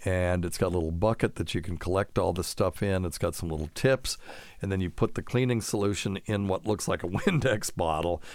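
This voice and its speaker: A man's voice: low voice, brisk, no echo. A man hosting a casual live show, answering listener questions in a folksy, rambling way and explaining medical terms plainly.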